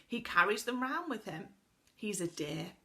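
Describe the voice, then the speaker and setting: A woman's voice: mid-range voice, big pitch swings, unhurried, creaky, pushed and bright, full character voice. A woman voicing a kind young girl who speaks fondly and matter-of-factly.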